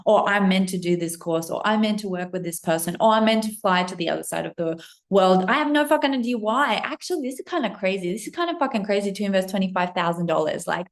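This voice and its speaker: A woman from Russia, in her thirties, talks to camera as a spiritual business coach. She gushes and swears with breathless excitement. Her voice sits at an ordinary middle pitch for a woman. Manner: breathless, effusive, heavy swearing and frequent "like". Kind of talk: excited casual monologue to camera.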